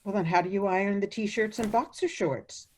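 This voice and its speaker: A woman acting in a radio play as a sweetly meddling, fussy old mother-in-law with a genteel, gently disapproving manner. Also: medium pitch, moderate pace, a little hiss or hum, close to an everyday voice. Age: older.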